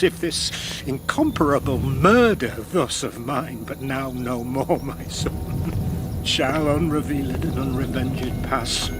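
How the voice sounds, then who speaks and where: A grief-stricken middle-aged father broods on revenge in slow, anguished verse. It is classical radio drama, with prominent music behind him. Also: medium pitch. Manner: anguished, brooding soliloquy delivery. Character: grief-stricken father, Knight-Marshal of Spain, bent on revenge.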